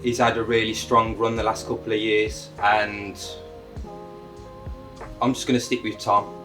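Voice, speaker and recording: A man's voice: fairly low pitch, little pitch movement, a little slow. A man from Birmingham giving brief, matter-of-fact interview answers. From Birmingham, England. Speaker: angler competitor. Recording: distant mic, faint music behind.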